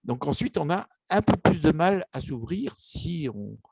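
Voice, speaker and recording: Gravelly, husky older man, hesitant. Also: on the high side, sing-song, unhurried, dry recording. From Egypt. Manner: hesitant, many restarts and fillers, warm storytelling. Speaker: author interviewed about his book. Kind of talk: casual interview, personal memories.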